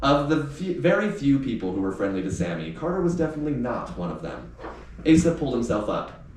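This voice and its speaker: A man reading youth fiction aloud in an expressive, audiobook-like storytelling style. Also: medium pitch, a little fast. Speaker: author reading aloud from a novel. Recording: echoey room, distant mic, faint noise.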